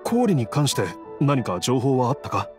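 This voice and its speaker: A humble, grateful father in an anime game, played by a man, weakened by illness. He speaks hesitantly at a medium pitch with lively rises and falls, over faint music. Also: moderate pace, natural voice. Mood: grateful, apologetic. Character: grateful, humble ailing father of a grown-up household. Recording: music behind the voice.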